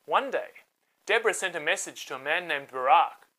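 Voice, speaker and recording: A woman narrating a scripted children's Bible story in a dramatic, expressive way. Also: low voice, brisk, poor audio.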